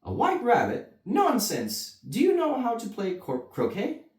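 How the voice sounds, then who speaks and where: A man's voice: high voice, wildly swooping pitch, unhurried, a little mellow, voice pushed up, exaggerated voice. A man voicing a haughty, demanding queen in a storybook reading. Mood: imperious, demanding. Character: imperious, loud, demanding Queen of Hearts. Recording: roomy echo, distant mic.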